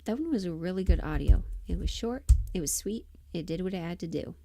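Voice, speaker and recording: A woman's voice: lowish voice, animated, a little fast, warm tone, faint music behind. A woman chatting casually as she hosts a livestream, often muttering half to herself and trailing off as she works. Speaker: livestream host and audio performer. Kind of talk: casual livestream chat while working.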